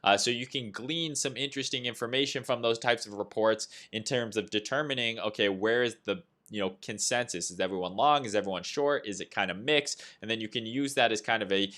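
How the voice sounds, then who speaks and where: A man's voice: fairly low pitch, brisk, few pauses, sharp tone. A young Canadian man with a Canadian accent hosting a casual livestream, talking loosely through trading setups and replying to chat with lots of "uh" and "right?". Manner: loose and chatty, reads chat aloud, frequent "uh" and "right?". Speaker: livestream host, trading analyst. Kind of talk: casual livestream market commentary.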